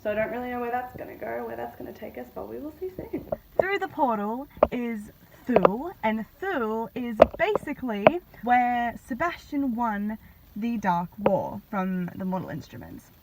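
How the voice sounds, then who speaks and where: A woman's voice: on the high side, sing-song, moderate pace, creaky. A woman giving a casual book reaction in gushing, slangy fan talk with sarcastic asides. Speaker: book reviewer vlogger. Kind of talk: casual reaction commentary, retelling a novel. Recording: noisy, glitchy amateur phone audio.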